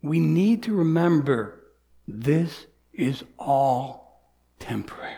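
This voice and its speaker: A man in his sixties preaching a sermon as pastor, earnest, dropping to soft near-whispers and rising to emphatic lines. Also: a little high, expressive, slow, gravelly. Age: 60s. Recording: long hall reverb.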